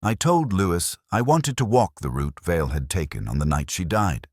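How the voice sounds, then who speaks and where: A man narrating a scripted first-person detective mystery in a measured, formal, literary manner. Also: low voice, big pitch swings, dry recording, studio quality.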